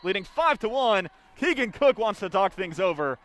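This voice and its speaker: Higher-voiced young man calling live volleyball. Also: sing-song, normal speed, a bit bright. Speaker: radio play-by-play announcer. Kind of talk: live volleyball play-by-play commentary. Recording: background noise of a sports arena. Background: arena ambience.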